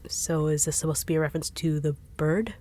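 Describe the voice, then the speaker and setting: Woman, low voice, dry sarcastic no-nonsense delivery for audio drama. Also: quick pace, mellow. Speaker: voice actor in audio roleplay. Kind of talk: acted audio roleplay.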